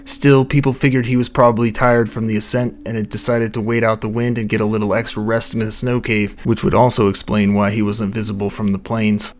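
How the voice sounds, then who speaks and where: A young man with a low, soft voice, reading a scripted account of a mystery late at night at home. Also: little pitch movement, fairly quick, hardly any pauses. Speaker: narrator of a mystery channel. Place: home recording space.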